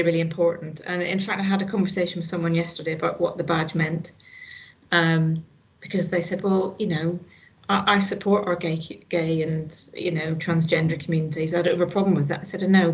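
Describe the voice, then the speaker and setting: A middle-aged woman from Northern Ireland with a Northern Irish accent, talking earnestly in a casual conversation, with lots of restarts. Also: lowish voice. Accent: Northern Irish, slight Scottish. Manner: earnest, frequent "you know" and restarts. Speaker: hospital staff guest.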